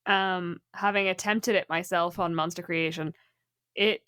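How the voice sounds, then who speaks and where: A woman in casual, informal conversation about tabletop gaming, animated and teasing as a podcast co-host. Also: lowish voice, measured pace, clear, resonant tone, no echo, clean studio sound. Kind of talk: casual chat about tabletop game monsters.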